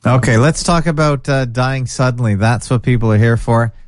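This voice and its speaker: A man's voice: mid-range voice, moderate pace, no echo, studio quality. A man hosting a podcast with callers, chatting casually, joking and reading posts aloud from his screen. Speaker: podcast host. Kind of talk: casual call-in chat.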